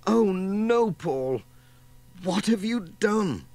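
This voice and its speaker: Bloke putting on a homely farmers wife for a kids story, easygoing mum, slow and very singsong. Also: male voice, sits high, expressive, a little mellow, pitched above the performer's own voice, exaggerated voice. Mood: apologetic, fussing.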